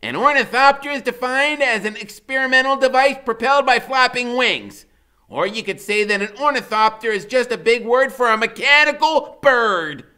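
A man's voice: very high voice, expressive, moderate pace, bright, forceful tone, clear, resonant tone, voice pushed up, exaggerated voice. A man voicing a tiny, fierce, bookish boy in a storybook, declaiming pedantically. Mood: pedantic. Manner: pedantic, declamatory, like reciting a dictionary.

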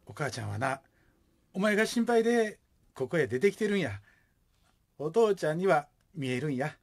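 An actor as a high-voiced, blustery Kansai father. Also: big pitch swings, normal speed. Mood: solemn. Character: stubborn, blustery, soft-hearted widowed father of a young woman. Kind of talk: radio drama acting.

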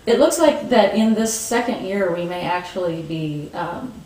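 A woman, answering interview questions thoughtfully with pauses and restarts, seated in a room with bookshelves. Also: medium pitch, animated, darkish tone, roomy sound, distant mic, rough recording. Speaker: market organizer.

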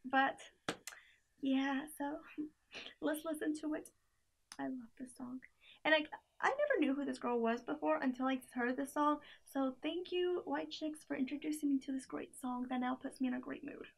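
Young woman with a high, gravelly voice. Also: fairly even intonation, moderate pace, a little hiss or hum. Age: young adult. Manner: chatty, filler-heavy, giggly asides. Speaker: playlist reviewer. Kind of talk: casual chat. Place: small room indoors by a door.

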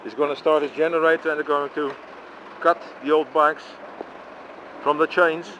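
Man, Dutch accent, casual walking commentary, handheld camera mic, outdoor noise, fairly high pitch. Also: fairly even intonation, moderate pace, muffled recording. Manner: chatty, chuckling, exclaims often. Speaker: vlogger filming with handheld camera. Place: outdoors at a busy bike parking by a street. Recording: noisy outdoor street recording.

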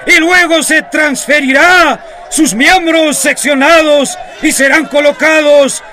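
An actor playing a stern colonial official, declaiming solemnly like a town proclamation in a radio drama. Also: male voice, extremely high pitch, steady tone, slow, bright, forceful tone, a faint crowd, a little hiss or hum, heavily acted. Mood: stern, proclaiming.

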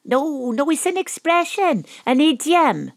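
A woman's voice: high-pitched, sing-song, a little slow, dry recording, full character voice. A woman playing a plucky, self-important Welsh detective who narrates her case in an audio drama, with dry, arch delivery. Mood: patient.

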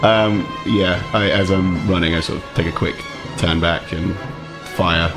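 Deep voiced guy playing a tabletop rpg, casual, music behind. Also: fairly even intonation, measured pace. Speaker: tabletop game player. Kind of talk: casual game chat. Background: background music.

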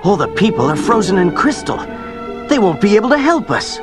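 A man's voice: sits high, sing-song, brisk. A man playing a brash swordsman who cares for his friends, earnest and dramatic, in a children's audio storybook. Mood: concerned. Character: brash adult male swordsman, concerned for friends. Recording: loud music under the voice.

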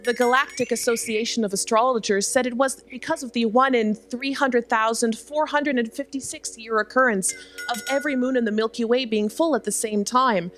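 A woman playing a literal-minded self-aware garbage spaceship, measured and matter-of-fact in a staged sci-fi comedy drama. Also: a little high, steady tone, a bit bright, close to an everyday voice. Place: theatre stage, live performance. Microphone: stand microphone.

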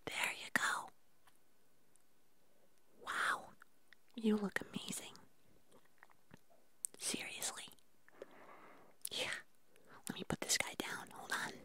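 A woman voicing a gentle, doting furry puppet creature in a soft, breathy whisper, murmuring soothingly in an ASMR roleplay. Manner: slow, soothing, affectionate murmuring.

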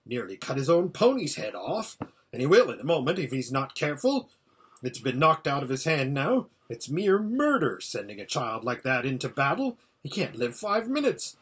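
Man reading as an urgent, husky old hermit. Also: mid-range voice, expressive, a little slow, fairly bright tone. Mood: dismayed. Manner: exclamatory, urgent running report. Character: old male hermit seer, impatient, anxiously narrating a battle. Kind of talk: storybook character voice.

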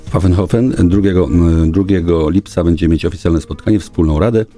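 Deep, dark-voiced middle-aged man, halting. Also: soft background music. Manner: halting, formal official speech with frequent hesitations. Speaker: local government official, guest. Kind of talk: formal radio interview answers. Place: small radio studio. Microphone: studio boom microphone.

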